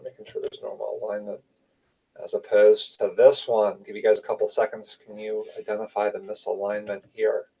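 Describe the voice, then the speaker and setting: A man's voice: fairly low pitch, vocal fry, mellow, breathy edge, distant mic, rough recording. A man giving an informal medical lecture, explaining slides in clipped, conversational phrases. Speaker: radiology lecturer.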